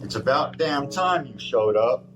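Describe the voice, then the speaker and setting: A man's voice: mid-range voice, animated, unhurried, lightly acted. A man playing an impatient, menacing male crime boss in an audio drama, scolding and commanding. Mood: irritated. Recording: guitar music behind the voice.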